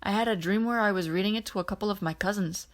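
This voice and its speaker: A groggy young man played by a woman reader talks with a dramatic streak. He is a space adventurer in an audiobook, at a medium pitch. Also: fast, lightly acted. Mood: groggy, earnest.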